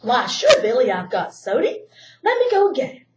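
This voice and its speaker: A woman voicing a friendly shopkeeper in a children's story, hearty, loud and cheerful, recorded from a distance in a roomy space. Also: high-pitched, huge pitch swings, moderate pace, muffled recording, slight background noise. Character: friendly old male shopkeeper. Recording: roomy echo, distant mic.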